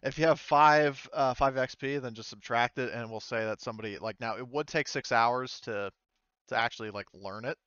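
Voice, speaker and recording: A man running a tabletop game as game master, casual and halting as he thinks aloud. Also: medium pitch, a bit bright, clean tone, no room sound. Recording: dry, slightly dull home microphone.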